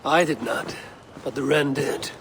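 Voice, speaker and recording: An actor playing a steady, grim male Viking warrior who speaks tersely. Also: on the high side, measured pace, creaky, slight background noise. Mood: determined. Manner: terse, resolved.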